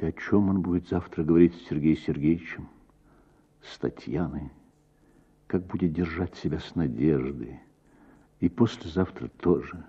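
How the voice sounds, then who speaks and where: A man reading a literary short story as expressive, theatrical audiobook narration for radio, with deliberate pauses and a husky voice. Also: low-pitched, lo-fi sound.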